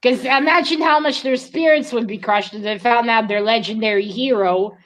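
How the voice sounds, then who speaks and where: A woman's voice: fairly high pitch, normal speed, crowd noise. A woman co-hosting a read-along in casual discussion, thinking aloud and speculating with some hesitation. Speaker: co-host of a book read-along.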